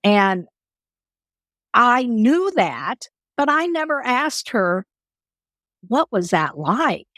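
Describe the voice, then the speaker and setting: A woman's voice: on the high side, sing-song, very slow, pauses often, a bit bright, no room sound, studio quality. A woman hosting a conversational interview, speaking as an empathetic, encouraging listener who shares personal stories. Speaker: podcast host.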